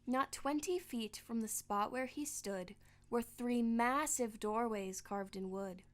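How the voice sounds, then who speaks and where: A woman narrating a storybook in rhyming verse with expressive, theatrical storytelling. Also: a little high, slow.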